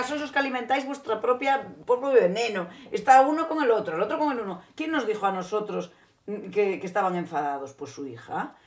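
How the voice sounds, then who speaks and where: A woman's voice: medium pitch, fairly bright tone. A woman with a mid-range voice comments on celebrity gossip. She is opinionated and emphatic. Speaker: gossip columnist. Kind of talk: casual chat.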